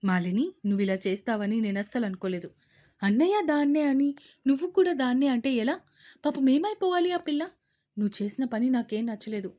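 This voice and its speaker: A woman voicing a quarrelsome middle-aged aunt who shouts accusations in a Telugu audio story. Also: fairly quick, lightly acted. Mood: angry. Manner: shrill, accusing.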